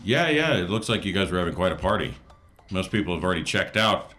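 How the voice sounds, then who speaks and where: A man's voice: deep voice, sing-song, fast talker, pushed and bright, faint music behind. A man chatting casually as a player in a tabletop role-playing game, describing what his character does.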